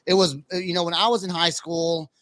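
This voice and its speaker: A male podcast host in his forties with a notably high, bright voice, chatting casually. The sound is dry and close, with very little room around it. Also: level tone, a little fast, no echo. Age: 40s. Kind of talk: casual chat.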